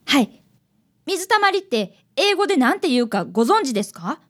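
A woman's voice: sits high, big pitch swings, moderate pace, fairly bright tone. A woman voicing an eager, polite fifth-grade boy apprentice detective in a radio drama. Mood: proudly explaining. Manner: earnest, polite boyish speech.

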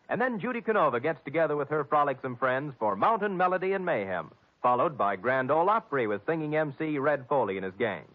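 A narrow, tinny old broadcast of a male network announcer. His voice is fairly high and highly inflected, reading formal promotional copy in a polished 1950s manner. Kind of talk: 1950s scripted radio announcing. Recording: tinny, band-limited 1950s radio recording.

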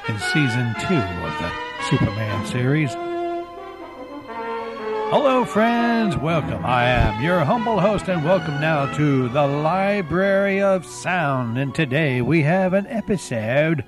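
A man hosting an old-time radio program, introducing it with theatrical, drawn-out emphasis. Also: on the high side, big pitch swings. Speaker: show host. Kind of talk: scripted-sounding radio show introduction. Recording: loud background music under voice. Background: mysterious music.

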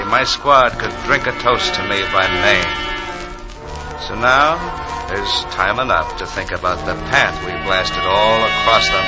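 Reflective sergeant over loud music. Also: male voice, fairly low pitch, poor audio. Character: fallen WWII infantry staff sergeant, reflective, weary. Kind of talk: 1940s radio verse narration. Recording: old radio recording, loud music behind.

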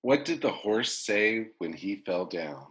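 A man's voice: lowish voice, sing-song, a little slow, fairly bright tone. A man with a cold, sounding stuffed up, hosting a casual podcast and laughing often as he runs a joke game. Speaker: podcast host.

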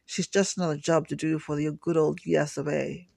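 An easygoing, scheming male lab colleague, as a man reads him out. The voice is rough and gravelly, slightly high, and very dry and close. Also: level tone, brisk, a little mellow, dry recording. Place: home kitchen table.

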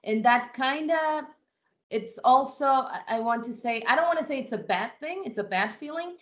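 A woman's voice: high-pitched, lively intonation, normal speed, a bit bright, lo-fi sound. A woman from Ecuador, a guest in an interview, answering in an earnest, reflective way with frequent restarts.

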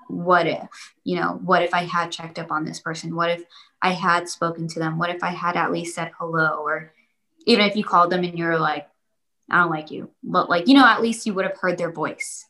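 Rambling young woman, lowish voice. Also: fairly even intonation, moderate pace, pushed and bright, poor audio. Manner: rambling, repeats herself, many fillers. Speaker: interviewee. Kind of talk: casual interview answers.

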